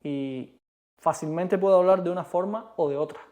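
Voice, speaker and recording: A man from Cuba with a Cuban Spanish accent, co-hosting a casual chat in an emphatic, animated way. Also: high voice, normal speed. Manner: emphatic, animated, repeats rhetorical questions. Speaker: co-host.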